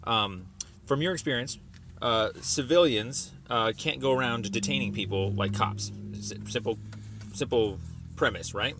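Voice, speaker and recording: A man making a phone call, explaining a complaint in a halting, wordy, circling way. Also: medium pitch, a bit bright. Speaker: caller. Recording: noisy phone call recording.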